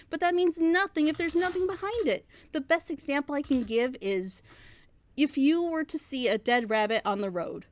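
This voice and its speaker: High-voiced woman giving opinionated critique. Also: no echo. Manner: emphatic, rambling explanations with rhetorical questions. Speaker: reviewer on a reaction show.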